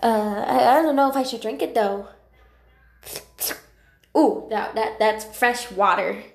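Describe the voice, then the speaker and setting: A woman's voice: fairly high pitch, slow, creaky. A woman voicing a shy, eager, childlike young kitten in an amateur animal drama, hesitant and stammering. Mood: wondering. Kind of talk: amateur character voice acting. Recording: roomy, echoing home recording.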